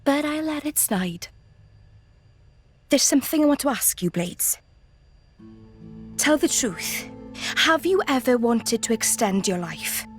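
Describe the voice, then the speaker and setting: Feisty, higher-voiced young female fighter. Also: expressive, takes it slowly, pauses often, soft background music. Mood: frank, probing. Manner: blunt, teasing, colloquial. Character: feisty, blunt young female humanlike Blade. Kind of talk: video game character acting.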